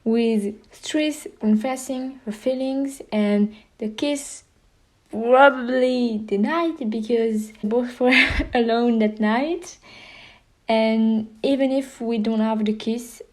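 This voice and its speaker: A woman's voice: fairly high pitch, slow pace. A woman with a girlish voice hosting a fan video, chatting nervously and enthusiastically, with frequent giggles. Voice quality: girlish, childlike voice. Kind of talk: casual fan commentary.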